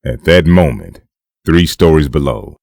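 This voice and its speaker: A man playing an ominous comic book narrator, reading dramatic scripted narration in a gravelly bass. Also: animated, unhurried, darkish tone, dry recording, studio quality, full character voice. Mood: grave, portentous. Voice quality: gravelly, very deep.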